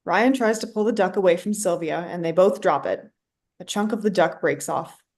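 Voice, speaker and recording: A woman's voice: on the low side, moderate pace, darkish tone. A woman reading scripted stage directions as narrator for a play reading.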